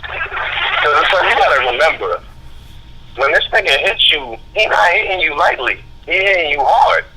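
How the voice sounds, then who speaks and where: A man speaking as a guest on a talk radio show, heated and profane, telling his story fast and forcefully. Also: fairly high pitch, big pitch swings, slight background noise. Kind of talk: angry call-in radio talk. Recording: phone line.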